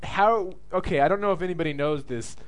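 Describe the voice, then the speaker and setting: A man's voice: high voice, quick pace. A young man hosting a casual podcast, teasing his guest in a playful way with lots of fillers. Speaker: podcast host. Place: student studio or room.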